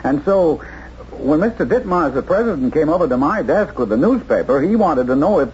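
A man's voice: a little high, very animated, brisk, darkish tone, husky, heavily acted. A man acting a fretful, cautious bank clerk in a 1970s radio drama, stammering, hesitant and fussy. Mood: evasive, fussy. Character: timid, fretful bank clerk fiancé, cautious and job-conscious. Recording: dull, noisy 1970s radio drama recording.

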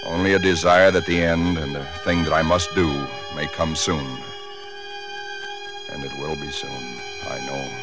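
An actor playing a doomed, grimly resigned husband with solemn, deliberate phrasing, in 1940s radio drama style. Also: male voice, rumbling low, fairly even intonation. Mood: grim resignation. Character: doomed husband, chemical engineer, grimly resigned narrator of horrors. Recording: old radio recording with loud music.

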